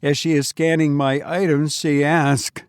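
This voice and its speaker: A man hosting a podcast and telling stories informally in the first person, with a halting, hesitant delivery. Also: fairly high pitch, level tone, clear, resonant tone, dry recording, clean studio sound. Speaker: podcast host and narrator.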